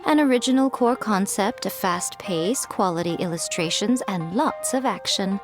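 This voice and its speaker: A woman voicing a poised, sly fox-spirit publisher in a video game, teasing and self-assured in a measured way. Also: medium pitch, lively intonation, soft background music, natural voice. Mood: instructive, assured.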